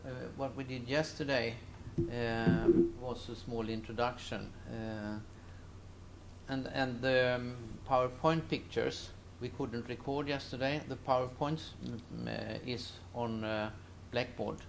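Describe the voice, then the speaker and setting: A man lecturing informally to a class, speaking in a halting, hesitant way with non-native phrasing. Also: medium pitch, steady tone. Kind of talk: informal classroom talk to students. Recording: echoey room with clear background noise.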